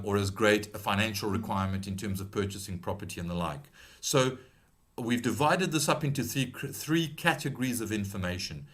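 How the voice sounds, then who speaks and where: A middle-aged British man with a slight South African tinge to his accent, presenting a webinar in a measured, advisory way with frequent ums and restarts. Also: lowish voice, fairly bright tone. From Britain. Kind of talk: explanatory webinar talk.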